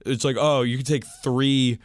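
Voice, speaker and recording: Sweary man griping, mid-pitched and dry. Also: fairly even intonation, normal speed, dry recording. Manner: profane, exasperated griping. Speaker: podcast co-host. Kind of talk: casual chat about tabletop wargaming.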